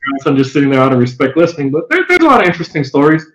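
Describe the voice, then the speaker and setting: A man in his forties, born in Japan and raised near Los Angeles, a guest telling stories in a relaxed, easygoing way on a video-call podcast. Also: fairly high pitch, very fast. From born in Japan, raised east of Los Angeles. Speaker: guest, fighting game veteran. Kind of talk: casual podcast interview over video call. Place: room at home. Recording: roomy, dull lo-fi webcam audio.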